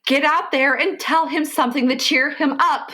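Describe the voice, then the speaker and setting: A woman acting in a comic radio play as a fretful, long-suffering mother and wife, with a melodramatic, drawn-out delivery. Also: high voice, steady tone, bright, forceful tone. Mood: exasperated.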